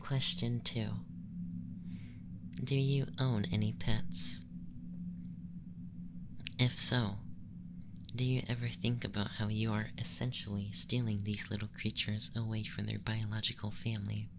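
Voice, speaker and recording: A man voicing a young, effeminate, clinically curious alien abductor in a soft, hushed, breathy ASMR roleplay, questioning formally in a measured, detached way. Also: fairly low pitch, flat delivery, background noise. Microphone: close microphone.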